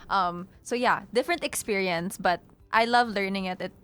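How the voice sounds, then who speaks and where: A woman's voice: mid-range voice, normal speed, a bit bright, smooth. A young woman from Los Angeles with an American accent, chatting as a guest singer on a radio show and mixing English with Tagalog. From Los Angeles, USA. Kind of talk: casual radio interview chat.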